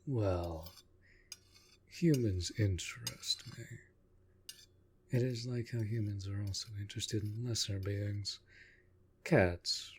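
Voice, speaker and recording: An actor playing a courtly, aloof but caring vampire master in an intimate roleplay audio drama, speaking formally with soft condescension. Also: male voice, fairly low pitch, a little hiss or hum. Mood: patient, condescending. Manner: formal, measured, softly condescending.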